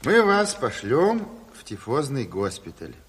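An actor playing a folksy revolutionary official in a theatrical radio drama, mock-polite, teasing and sly. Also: male voice, medium pitch, wildly swooping pitch, measured pace, a little hiss or hum. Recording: reverberant 1970s radio studio.

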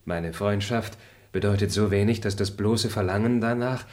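Brief: a man as a low-voiced, formal, moralizing gentleman, radio play. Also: normal speed, close to an everyday voice. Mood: lofty. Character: noble, high-minded male traveller. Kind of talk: radio drama acting.

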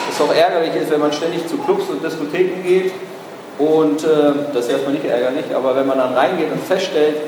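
Man giving a talk in an echoey hall. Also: fairly high pitch. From Berlin, Germany. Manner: storytelling, frequent hesitations, wry asides. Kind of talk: anecdotal talk to an audience. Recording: echoey hall recording with noise.

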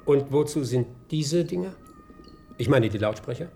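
An actor playing a nosy, dryly needling police inspector in a German radio play. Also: male voice, medium pitch, expressive, moderate pace, mellow, slight background noise, lightly acted. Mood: probing.

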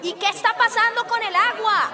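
A woman's voice: extremely high pitch, moderate pace, a crowd in the background. An actress playing a defiant, insistent young townswoman at a protest in a radio soap opera. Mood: demanding.

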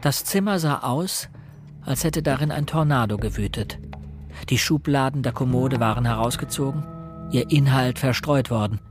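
An actor playing an earnest young amateur investigator who narrates and speaks in a German radio crime play. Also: male voice, medium pitch, moderate pace, close to an everyday voice. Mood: earnest, concerned. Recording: studio radio play with music underneath.

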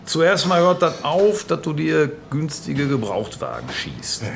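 An actor playing a jovial, domineering small-town power broker in a radio drama, blunt, folksy and patronising. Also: male voice, fairly high pitch, wildly swooping pitch, measured pace, creaky, a little hiss or hum, exaggerated voice. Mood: firm, patronising. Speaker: local political fixer. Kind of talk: naturalistic radio drama acting.

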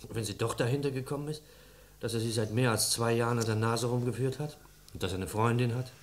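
Man playing a fast-talking, dutiful police assistant. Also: medium pitch, close to an everyday voice. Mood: businesslike. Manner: brisk, deferential reporting. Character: dutiful, matter-of-fact male police assistant. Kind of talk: German radio crime drama acting. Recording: noisy old radio drama recording.

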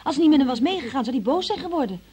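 A woman's voice: sits high, lively intonation, racing, mellow. An actress playing a timid young female shop worker under questioning in a radio drama, hesitant, meek and defensive. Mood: nervous, defensive.

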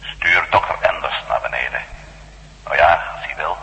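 A man acting in a Dutch radio drama as a former astronaut turned reporter exploring a tomb, with a gravelly voice and a slow, hushed, intent delivery. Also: a bass voice, heavily acted. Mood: grave awe. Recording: echoey, noisy radio play recording.

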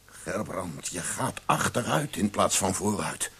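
A man's voice: low voice, sing-song, unhurried, bright, forceful tone, breathy edge, full character voice. An actor playing a stern, worried doctor in a radio drama, blunt and insistent with his patient. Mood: concerned, probing.

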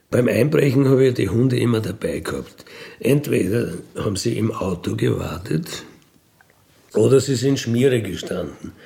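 Middle-aged man, Austrian accent, very slow delivery, gravelly fry, colloquial matter-of-fact storytelling, radio feature. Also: mid-range voice, a little mellow. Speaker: former burglar telling his life story. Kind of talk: casual first-person storytelling in a radio feature.

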